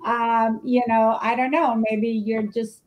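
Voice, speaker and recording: A woman's voice: a little high, level tone, takes it slowly, muffled recording. A woman hosting a live interview show from home over a video call, talking enthusiastically and laughing often. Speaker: show host. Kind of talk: casual interview chat. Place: home room.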